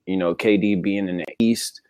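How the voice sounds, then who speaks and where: A man with a deep voice chats about sports as a co-host, recorded close and dry. His delivery is laid-back and conversational, full of casual slang. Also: steady tone, normal speed, darkish tone, no room sound.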